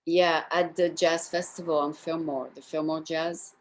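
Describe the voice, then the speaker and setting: A woman's voice: deep voice, flat delivery, unhurried, slight background noise, poor audio. A woman, hosting a casual conversational interview. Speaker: talk show host.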